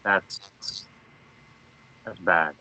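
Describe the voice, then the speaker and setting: A man's voice: low-pitched, steady tone, extremely slow, bright, forceful tone, dull audio, a little hiss or hum, full character voice. An actor playing a grim male investigator in a screenplay read, giving grave, faintly menacing lines. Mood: grave, warning.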